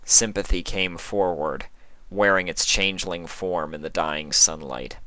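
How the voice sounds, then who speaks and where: A narrator giving a measured, expressive, dramatic reading of a fan fiction story. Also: low-pitched, dry recording.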